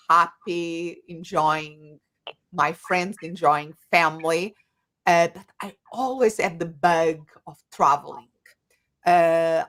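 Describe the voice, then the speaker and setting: A woman's voice: low-pitched, fairly bright tone. A middle-aged woman from Portugal with a Portuguese accent, speaking as an interview guest, earnest, with fillers and rephrasing. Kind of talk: casual interview talk.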